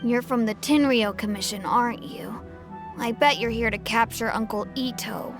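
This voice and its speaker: A woman's voice: on the high side, normal speed, lightly acted. A woman voicing an earnest little boy who is proud of his fighting beetle, with game music behind. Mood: suspicious. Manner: eager, childlike.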